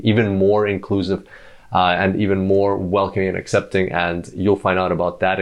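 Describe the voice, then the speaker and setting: A man hosting a podcast, introducing and interviewing a guest in an enthusiastic, chatty way. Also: low-pitched. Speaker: podcast host. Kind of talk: upbeat interview chat.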